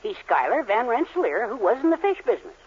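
A man's voice: extremely high pitch, very animated, fast talker, husky, full character voice. An actor playing a meek, dryly sarcastic middle-aged father in a 1940s radio sitcom. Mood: dry henpecked. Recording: dull 1940s radio broadcast recording with faint hiss.

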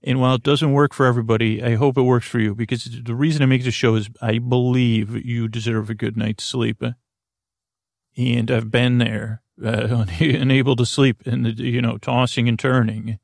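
A man hosting a sleep podcast in a creaky voice, giving a gentle, reassuring monologue that meanders haltingly from one tangent to another. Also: mid-range voice, level tone, dry recording, clean studio sound. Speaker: podcast host.

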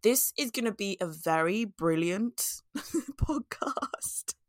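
A woman hosting her own podcast, talking casually with a voice hoarse and scratchy from a cold, laughing at herself and repeating her key points. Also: medium pitch, lively intonation, takes it slowly, no room sound, clean studio sound. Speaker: podcast host. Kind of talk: casual solo podcast talk.

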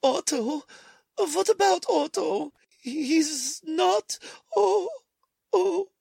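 Tearful immigrant mother, piercingly high, creaky, frantic exclamations, radio play. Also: drawn-out words, mellow, no echo, exaggerated voice. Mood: alarmed. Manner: gasping, breaking into short exclamations. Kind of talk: old-time radio drama acting.

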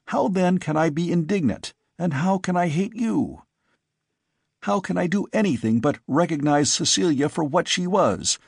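A man's voice: fairly high pitch, vocal fry, a little mellow, slightly rough, no echo, clean studio sound, voice pushed up, full character voice. A man voicing an earnest, agitated young man who pleads insistently with his father.

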